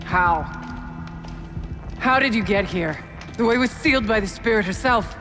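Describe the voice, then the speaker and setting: Actress voicing a earnest game priestess, solemn, prayerful delivery, mid voice, music bed. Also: female voice, medium pitch, measured pace, a bit bright, natural voice. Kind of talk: video game cutscene.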